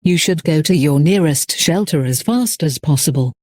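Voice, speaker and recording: A man reading a scripted emergency broadcast announcement in a formal, measured manner. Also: on the high side, expressive, darkish tone, no echo, clean studio sound.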